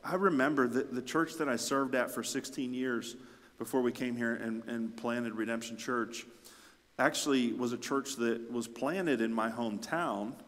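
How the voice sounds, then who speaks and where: A man preaching a sermon as a pastor, speaking emphatically with repeated phrases and swelling from quiet to forceful. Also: mid-range voice, moderate pace. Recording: echoey hall with long reverb.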